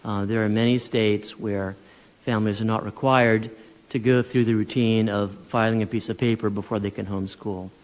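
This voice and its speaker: A man testifying at a legislative hearing, speaking in a measured, persuasive way with small restarts. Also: on the low side, fairly even intonation. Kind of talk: formal testimony. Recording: long reverb of a large hall.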